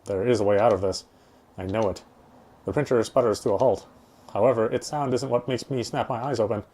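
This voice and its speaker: A man reading a horror visual novel aloud in an expressive, first-person storytelling manner. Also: lowish voice, a little fast, breathy edge. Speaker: let's-player reading a game aloud. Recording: game music behind the voice. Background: game music.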